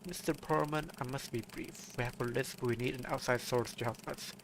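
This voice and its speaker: A man voicing a mysterious, grave caller who recruits a detective, speaking solemnly and in measured phrases. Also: mid-range voice, steady tone, background noise, lightly acted. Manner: solemn, measured, reading game dialogue aloud.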